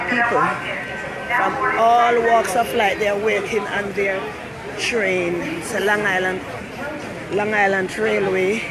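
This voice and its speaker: A woman's voice: mid-range voice, poor audio. A woman from Jamaica with a Jamaican accent, hosting a rambling, chatty live stream from a busy city station area and greeting viewers by name. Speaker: talk show host. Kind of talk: casual live-stream chat. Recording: loud street and traffic noise.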